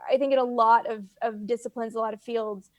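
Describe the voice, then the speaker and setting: A Canadian woman with a fairly high voice talks casually as an interview guest. She is animated and emphatic, and the sound is close and dry. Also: a little fast, dry recording. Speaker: guest author.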